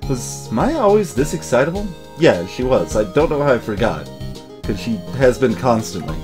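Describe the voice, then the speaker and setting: A man hosting a let's play, giving casual, halting, amused commentary with music behind him. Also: mid-range voice, very animated. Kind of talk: casual gaming commentary with voiced dialogue reading.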